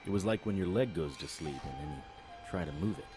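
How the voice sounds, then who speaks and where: A man playing a wry, world-weary detective narrating a noir audio drama in clipped, deadpan phrases. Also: deep voice, quick pace, over music. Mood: groggy, musing.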